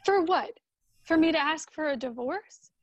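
A woman's voice: sits high, lively intonation, normal speed, no room sound. A woman acting a troubled, weary grown daughter in a radio drama, speaking hesitantly. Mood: frustrated, troubled. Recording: dull, lo-fi sound.

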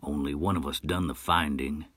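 A man giving voice to a frowning old wanderer who speaks in short, gruff words and wastes none. His voice sits low and deep, recorded dry and close. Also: fairly even intonation, normal speed, a bit bright, dry recording. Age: older. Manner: curt, gruff, terse.

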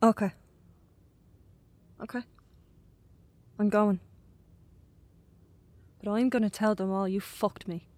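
A woman's voice: medium pitch, steady tone, slow, pauses often, a little mellow, no room sound, natural voice. A woman playing a lonely, manipulative teenage boy, insistent and pushy, in naturalistic audio drama. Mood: hurt, threatening.

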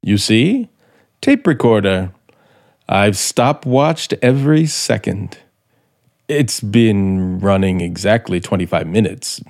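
A man's voice: fairly low pitch, animated, slow pace, darkish tone. A man acting in a radio drama as a bitter, vengeful scriptwriter with a sardonic, theatrical manner. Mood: coldly mocking. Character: bitter, vengeful male radio scriptwriter turned would-be murderer.

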